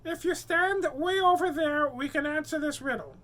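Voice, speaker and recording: A man voicing a prim, aloof female mermaid in a read-aloud story, speaking in a slow, drawn-out, haughty way. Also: very high-pitched, fairly bright tone, voice pushed up.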